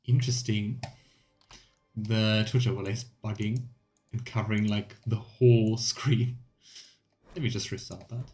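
A man's voice: lowish voice, steady tone, unhurried, long pauses, darkish tone. A man in his thirties giving casual, chatty let's play commentary with a wry, mock-complaining manner. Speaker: game streamer. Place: small room indoors. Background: game music.

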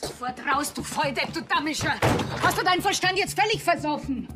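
An actress playing a coarse-tongued Bavarian wife in a TV crime comedy, blunt and scolding. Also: female voice, sits high, fairly quick, heavy background noise, lightly acted. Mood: furious. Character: furious, coarse-tongued Bavarian wife berating her husband. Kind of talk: television crime comedy acting.

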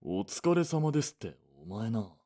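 A man playing a casual, gruff but caring senior coworker in a voice drama, talking bluntly and informally. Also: on the low side, sing-song, normal speed, dark tone. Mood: teasing, concerned. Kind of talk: voice drama dialogue.

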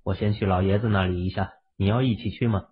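A man's voice: deep voice, fairly even intonation, fast talker, breathy edge. A man voicing an understated, musing young husband, the laid-back hero of an urban fantasy audiobook. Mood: casual. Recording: muffled, phone-like, lo-fi audio.

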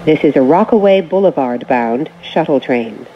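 A woman reading scripted transit announcements as a train announcer, in short, measured, formal phrases. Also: low voice, lively intonation. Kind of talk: scripted automated station announcements. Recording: muffled recording with train noise.

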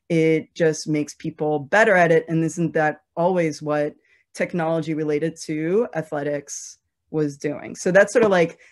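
A woman panelist talking through arguments in an informal, conversational academic way, often saying "like". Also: fairly low pitch. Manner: conversational, heavy use of "like" and "right?".

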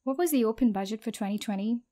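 Woman, somewhat high pitch, user role, short voice-assistant commands and questions. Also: fast, mellow.

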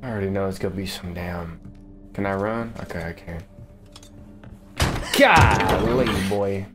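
A young man talks through a horror game in loose, slangy, profane bursts. He gets excitable at the action, and faint game music runs behind him at home. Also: sits low, unhurried. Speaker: gaming streamer. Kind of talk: casual let's-play commentary.

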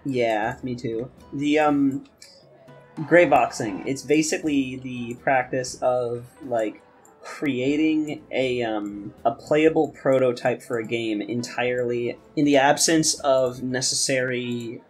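A young man chatting casually and rambling, often halting and repeating his words. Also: mid-range voice, fairly even intonation, breathy edge. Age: young adult. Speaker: podcast guest and game maker. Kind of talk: casual chat. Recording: music playing under the talk. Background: guitar music.

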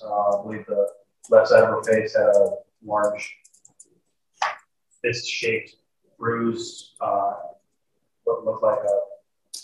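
A man testifying as a sheriff's deputy, giving terse, matter-of-fact formal answers. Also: lowish voice. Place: courtroom, picked up from afar. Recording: very echoey courtroom, distant mic, faint noise.

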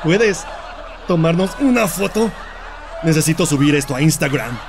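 A man voicing a brash, celebrity-obsessed party guest in a comic fandub, loud and gushing, with a Latin American Spanish accent. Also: a little high, lively intonation, normal speed, noisy background. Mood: excited. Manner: loud, gushing, mock-indignant.

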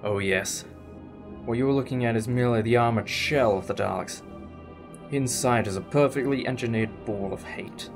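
A man's voice: medium pitch, normal speed, faint music behind, close to an everyday voice. A man playing a wry, inquisitive time-traveller in a sci-fi audio drama, with a sardonic, explanatory manner. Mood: defiant, grave. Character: wry, inquisitive, defiant male time-travelling alien adventurer.

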